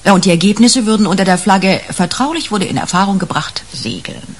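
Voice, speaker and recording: A woman's voice: medium pitch, animated, a little fast, slightly rough. An actress in a 1980s German radio crime play, playing a shrewd, sardonic senior policewoman who talks bluntly and colloquially. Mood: conspiratorial. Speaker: actor in a radio crime drama.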